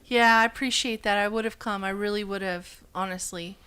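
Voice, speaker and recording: A woman's voice: mid-range voice, steady tone, brisk, sharp tone, slight background noise. A woman co-hosting a casual podcast among friends, teasing and laughing a lot. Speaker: podcast co-host.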